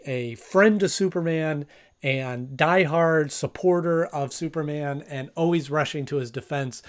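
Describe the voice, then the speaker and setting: A man gives casual review commentary as the host. His voice sits a little high, and he talks conversationally, thinking aloud and stressing points as he goes.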